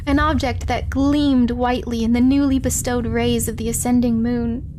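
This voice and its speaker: A giggly, expressive woman hosting a casual livestream, chatting with viewers and reading a story aloud. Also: a little high, measured pace, slight background noise. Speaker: livestream host. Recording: music playing behind the voice. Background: background music.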